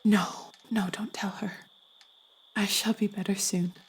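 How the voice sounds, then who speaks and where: A frail young girl in a literary audio play. Her voice is extremely breathy, almost a whisper. She is gentle and hides her sorrow. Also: mid-range voice, flat delivery, a little slow. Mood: weak, sorrowful. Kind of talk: audio play acting of a period novel.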